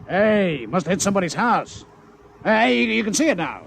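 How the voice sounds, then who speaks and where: A man's voice: high voice, big pitch swings, normal speed, vocal fry, fairly bright tone, a little hiss or hum, heavily acted. An actor playing a brash, shady gambling-house boss with blunt, slangy tough-guy talk in a radio comedy. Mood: excited, uneasy. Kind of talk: mid-century radio comedy acting.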